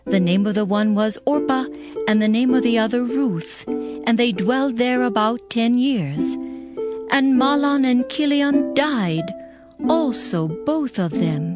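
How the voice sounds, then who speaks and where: A woman narrating scripture from a script in a measured, formal way. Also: a little high.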